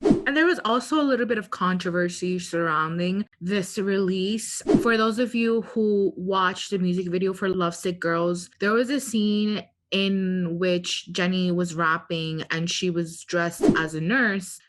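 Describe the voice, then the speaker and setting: A woman's voice: lowish voice, unhurried. A woman co-hosting a podcast, talking casually and giving her opinions. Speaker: podcast co-host.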